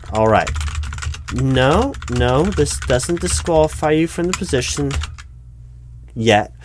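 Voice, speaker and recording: A man playing a baffled, exasperated recruiting manager in a one-sided ASMR job interview roleplay, speaking aloud with halting, repeated words. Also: medium pitch, background noise. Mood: puzzled, probing. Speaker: roleplay performer.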